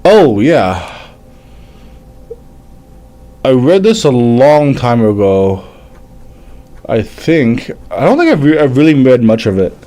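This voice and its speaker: A man in his thirties whose first language is French, hosting a casual live manga reading and chat, halting and stumbling as he reads aloud. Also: mid-range voice, big pitch swings, warm tone. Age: 30s. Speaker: livestream host, manga reviewer.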